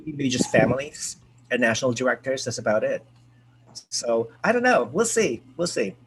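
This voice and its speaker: A man hosting a casual group video call, chatty and excitable, with lots of fillers and restarts. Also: medium pitch, moderate pace, slight background noise, poor audio. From United States. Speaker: host.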